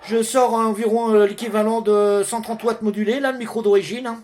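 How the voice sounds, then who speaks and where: A man's voice: high voice, flat delivery, fairly quick, a little mellow. A man chatting casually during an amateur radio contact, as one of the operators.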